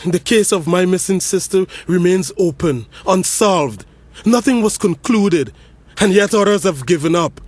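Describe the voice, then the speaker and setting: A man's voice: sits high, expressive, unhurried, no room sound. A young man, playing a brother who delivers an intense, accusing eulogy at a funeral in an audio drama.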